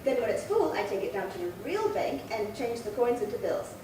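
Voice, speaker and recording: A woman's voice: fairly high pitch, sing-song, brisk. A woman acting a prim, chatty, indignant old lady in her late seventies in a 1950s-style radio crime drama, fussy and rambling. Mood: chatty, flustered. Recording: very echoey, distant, noisy room.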